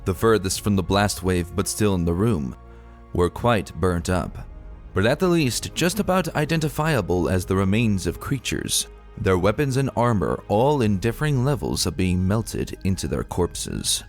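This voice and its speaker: Low-voiced man narrating dark fantasy. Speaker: narrator reading fan fiction. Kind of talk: dramatic scripted narration of a dark fantasy story. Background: music.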